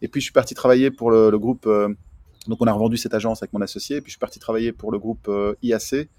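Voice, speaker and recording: A man's voice: lowish voice, steady tone, quick pace, mellow, no room sound. A man in his forties from Belgium, talking casually and explaining things as a podcast guest, with English marketing terms mixed in. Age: 40s. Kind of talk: casual interview talk. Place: outdoors, remote connection.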